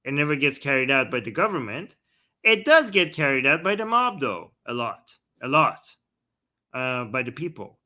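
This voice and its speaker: A man hosting a casual news discussion, talking in a conversational, halting way with frequent restarts. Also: mid-range voice, sing-song, bright, forceful tone. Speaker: show host.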